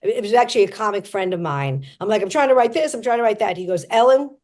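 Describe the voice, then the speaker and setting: A woman's voice: medium pitch, animated, fast talker, a little mellow. Woman comedian, podcast host, chatty, jokey, casual interview. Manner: chatty, jokey, self-promoting.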